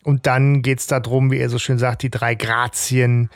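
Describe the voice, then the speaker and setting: A man's voice: medium pitch, flat delivery, normal speed, no room sound. A man co-hosting a fan podcast, chatting casually about a radio play episode and steering the talk along. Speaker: podcast co-host.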